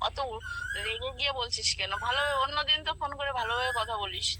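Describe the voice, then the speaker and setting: A woman's voice: on the high side, fast, bright, forceful tone, slight background noise. A woman on the phone in a heated informal argument, defending herself indignantly and repeating herself. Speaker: friend receiving a prank call.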